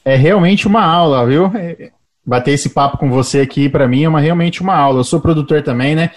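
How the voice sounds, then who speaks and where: A man's voice: fairly high pitch, a little fast. A man with a Brazilian Portuguese accent chatting casually and deferentially as an interviewer. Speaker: interviewer, producer. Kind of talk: casual chat.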